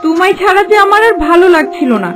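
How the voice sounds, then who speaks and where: A woman's voice: extremely high pitch, animated, fast talker. A woman playing a devoted, cunning young golden fish wife in a Bengali fairy-tale cartoon, with a theatrical delivery. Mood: sweet, coaxing. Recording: faint music behind the voice.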